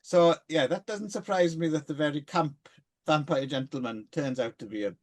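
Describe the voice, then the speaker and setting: A man hosting a casual film chat, sweary and animated, acting out scenes as he talks. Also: a little high, moderate pace. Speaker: podcast host.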